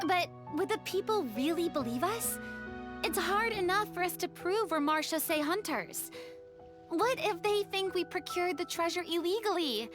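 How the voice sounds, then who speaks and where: Very high, cautious young girl. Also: animated, moderate pace, over music. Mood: worried.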